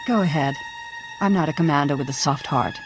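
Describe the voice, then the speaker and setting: Low-voiced, proud woman general played by an actress. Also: fairly quick, music in the background, lightly acted. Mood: cool defiance. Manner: commanding, pragmatic, controlled. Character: hard-headed, proud female military general. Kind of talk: video game dramatic acting.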